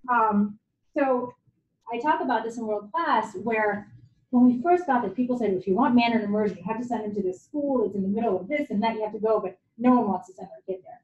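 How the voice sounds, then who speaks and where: A woman's voice: on the high side, brisk, darkish tone, a little hiss or hum. Guest lecturer, forties woman, Japanese native, self-deprecating and chatty; echoey hall, dull lo-fi audio. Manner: candid, conversational, self-deprecating. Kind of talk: university lecture talk.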